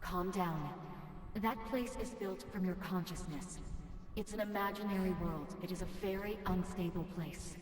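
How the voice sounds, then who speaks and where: A woman voicing a calm, guiding ally in a video game, explaining things in a reverberant voice. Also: fairly low pitch, moderate pace. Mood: calm, cautioning. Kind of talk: video game cutscene acting. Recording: echoey long reverb, faint noise.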